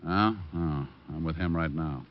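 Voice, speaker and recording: An actor playing a tough, sardonic American private detective in an old-time radio crime drama, blunt and clipped. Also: male voice, very deep voice, a little slow. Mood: probing. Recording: dull vintage radio recording.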